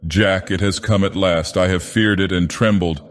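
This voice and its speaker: A man's voice: low-pitched, fairly even intonation, moderate pace, lightly acted. A man voicing a distraught young woman, pleading in a strained, trembling voice. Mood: anguished. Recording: long reverb.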